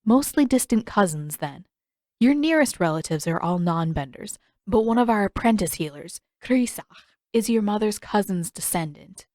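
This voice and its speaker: A woman's voice: mid-range voice, lively intonation, normal speed, dark tone, no room sound, clean studio sound, close to an everyday voice. A woman voicing a practical adult healer in a storybook, with a matter-of-fact, businesslike manner.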